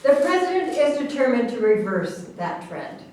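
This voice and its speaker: A woman's voice: on the high side, very animated, moderate pace, a little mellow. A woman playing an imperious, self-important professor and government official in a staged satirical reading, speaking in a formal, lecturing manner. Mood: earnest, pompous. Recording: echoey room, distant mic, faint noise.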